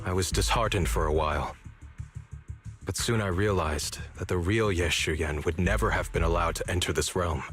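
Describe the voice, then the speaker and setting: A man plays a self-sacrificing swordsman and older brother in a video game. His voice sits low, with measured, formal phrasing. Music plays faintly underneath. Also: close to an everyday voice. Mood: measured, grave.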